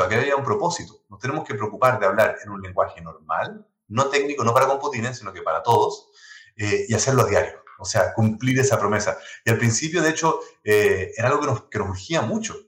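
A man with a Chilean accent, a guest in an interview, telling stories in quick bursts with many restarts; Spanish is not his first language. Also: medium pitch, lively intonation, fairly bright tone, roomy sound. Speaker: guest, tech blog founder. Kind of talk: conversational interview.

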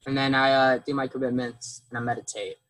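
A man in casual conversation, talking in a halting, plain way, who has trouble with his R sounds. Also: mid-range voice, level tone, fairly bright tone, no room sound, low-quality recording. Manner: halting, repeats words, plain. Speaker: farm volunteer. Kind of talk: casual chat.

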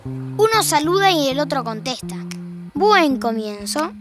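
An Argentine actress playing the wry, all-knowing narrator of a scripted domestic audio drama. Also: female voice, sits high, sing-song, a little slow. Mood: wry, observant. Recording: music bed behind a dry studio voice.

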